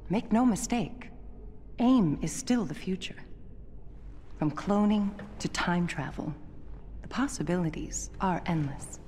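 A woman playing a cold, commanding scientist villain in a video game, measured and imperious. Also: lowish voice, darkish tone, natural voice. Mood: cold resolve. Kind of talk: cinematic game villain monologue. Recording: long hall reverb, music behind.

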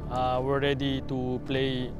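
A man speaking in a determined interview, pausing between phrases. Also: medium pitch, monotone, music in the background.

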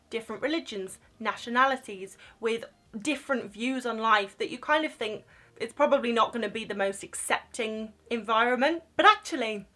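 A woman's voice: fairly high pitch, a bit bright. A young woman talking straight to camera in a small room, giving frank, reassuring advice in a chatty vlog manner. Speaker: vlogger giving crew advice. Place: small indoor room, plain wall.

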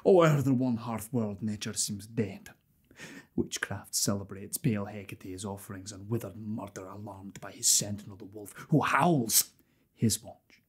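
Man acting a brooding, breathy murderous nobleman. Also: on the low side, moderate pace. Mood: dark, brooding. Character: haunted, murderous Scottish nobleman brooding at night. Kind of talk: Shakespearean soliloquy.

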